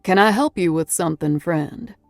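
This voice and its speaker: A woman's voice: on the low side, normal speed, vocal fry, dry recording, soft background music. A woman voicing a guarded male American federal agent in an audiobook, with a dry, laconic manner. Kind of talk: audiobook character voice.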